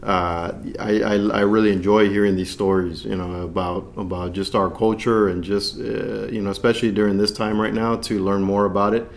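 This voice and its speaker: A man from Texas with a low-pitched voice co-hosts a casual review chat. He talks in a loose, conversational way, wandering from one thought into the next. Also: little pitch movement, normal speed, creaky, few pauses, a little hiss or hum. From San Antonio, Texas, United States. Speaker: co-host. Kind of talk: casual movie review discussion.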